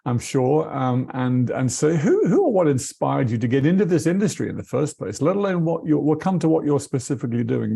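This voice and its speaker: A man from England hosting a video call interview, halting and repeating himself as he asks questions. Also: medium pitch, creaky, mellow, husky. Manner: halting, repeats words, chuckles often. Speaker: interviewer, board member. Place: home in England.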